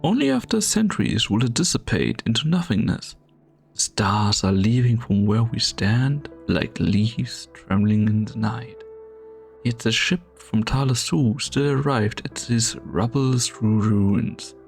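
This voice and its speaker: Guy doing brooding grandiose doctor guy in a game dub, gravelly, drags out his words, bit distant. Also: mid-range voice, animated. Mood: solemn, reverent. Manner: solemn, theatrical monologue with drawn-out phrases. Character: brooding, world-weary male strategist called Doctor, torn and grandiose. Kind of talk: fan dub of a fantasy game. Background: background music.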